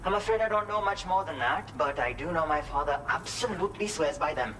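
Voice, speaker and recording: A man's voice: on the high side, expressive, a little fast, sharp tone. An actor playing a polite, earnest man in a naturalistic video game scene. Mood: grateful, earnest. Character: polite, grateful man speaking of his father. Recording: distant voice over noisy ambience.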